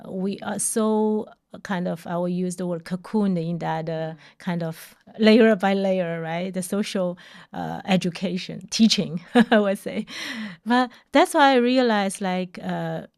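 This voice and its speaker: A woman hosting an interview podcast in a conversational way, haltingly searching for words and often checking in with tags. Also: fairly low pitch, vocal fry, darkish tone. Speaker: podcast host.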